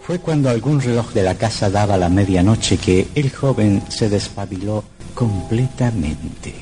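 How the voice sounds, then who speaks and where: A man's voice: lowish voice, expressive, a little slow, mellow, soft background music. A man narrating a scripted old radio horror drama in a dramatic, suspenseful manner.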